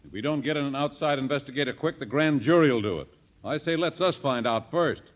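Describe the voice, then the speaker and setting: An actor playing a sardonic, needling small-town lawyer in a mocking manner for a 1950s radio drama. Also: male voice, mid-range voice, brisk, lightly acted. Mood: insistent.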